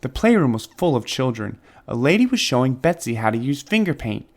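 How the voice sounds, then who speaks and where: A man's voice: mid-range voice, big pitch swings, brisk. A man reading a children's storybook expressively, with dramatic emphasis on key words. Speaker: children's book reader. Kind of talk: expressive scripted storybook narration.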